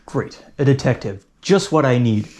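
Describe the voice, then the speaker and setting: A man acts out a field investigator in an audio drama. He is sarcastic and easily exasperated, talking in a natural, middle-pitched voice. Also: animated, moderate pace, darkish tone, lightly acted. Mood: sarcastic, dismissive. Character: exasperated, sarcastic male field agent of a secret department.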